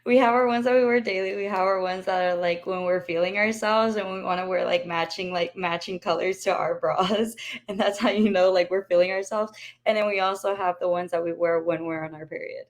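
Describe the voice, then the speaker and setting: A woman's voice: lowish voice, fairly even intonation, brisk. Teasing, exasperated young woman. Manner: teasing, exasperated, laughs often. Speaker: podcast co-host. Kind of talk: casual joking chat.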